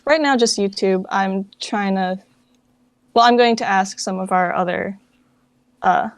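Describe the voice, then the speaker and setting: A woman's voice: mid-range voice, steady tone, a little slow, dry recording. A woman chatting casually as an interview guest, with informal, hesitant phrasing and plenty of "like". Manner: informal, hesitant, filler-heavy phrasing with frequent "like". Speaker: interview guest, founder of a fan dub project.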